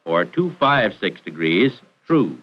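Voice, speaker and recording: Lively-pitched American man, vintage film narrator. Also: slow pace. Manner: formal, precise, instructional. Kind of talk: scripted 1940s training film narration. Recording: dull vintage 1940s film soundtrack.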